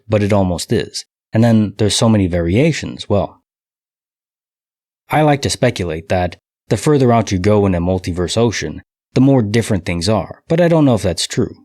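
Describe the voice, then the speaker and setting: A man hosting a podcast, reading listener stories aloud and musing on them in a relaxed, speculative way with light chuckles. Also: on the low side, no echo, clean studio sound. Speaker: podcast host.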